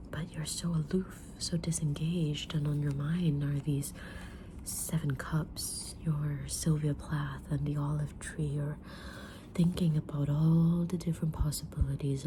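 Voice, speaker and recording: A woman playing a kind, mysterious tarot-reading witch in a gentle, breathy whisper for an ASMR roleplay. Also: sits low, noisy background. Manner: gentle, deliberate, soft-spoken, drawn-out pauses.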